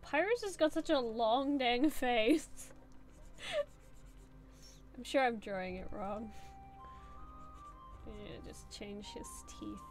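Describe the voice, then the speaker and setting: A young woman talks her way through a drawing session on stream, rambling between chat questions. Her voice sits slightly high and she is full of "like" and "um". Quiet music plays behind her. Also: expressive, very slow, pauses often. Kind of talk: casual live-stream chat while drawing.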